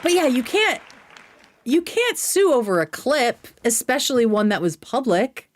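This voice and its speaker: A woman's voice: sits high, big pitch swings, measured pace, some chatter behind, slight background noise. An American woman hosting a livestream, giving casual, opinionated commentary in a blunt, sweary, conversational way. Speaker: live stream host reacting to clips.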